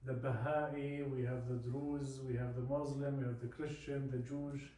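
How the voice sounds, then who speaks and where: Mellow Palestinian man in a very echoey room. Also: medium pitch, level tone. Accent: Palestinian Arabic. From Palestine, West Bank. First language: Arabic. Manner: halting, often repeats words and adds "you know". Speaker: speaker from a peace organisation. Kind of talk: informal personal talk to a group. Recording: very echoey room, distant mic, faint noise.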